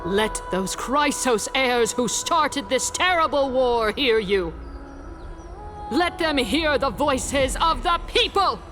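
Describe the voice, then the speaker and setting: A woman's voice: high-pitched, animated, slow. An actress playing a fiery woman orator in a video game, declaiming to rouse the people. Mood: impassioned. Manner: declamatory, ringing. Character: fiery female orator rousing a crowd. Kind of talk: dramatic video game voice acting. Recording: music behind the voice.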